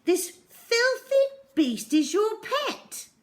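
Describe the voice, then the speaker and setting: A woman voicing a ferocious, unpleasant little female schoolteacher in a storybook, with a drawn-out, incredulous delivery. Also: very high-pitched, very animated, pitched above the performer's own voice, heavily acted. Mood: indignant.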